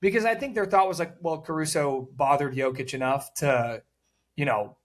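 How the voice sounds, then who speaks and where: A man co-hosting a casual sports talk show, rambling and repeating words, with a loud voice that cuts through noise. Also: fairly high pitch, moderate pace. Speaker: podcast co-host.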